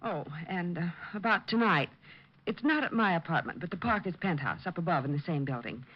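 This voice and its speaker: A woman's voice: on the low side, lively intonation, a little fast. An actress playing a doting, gushing society girlfriend of a detective in a 1940s radio drama. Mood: fussing. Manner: gushing, fluttery. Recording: dull old radio recording.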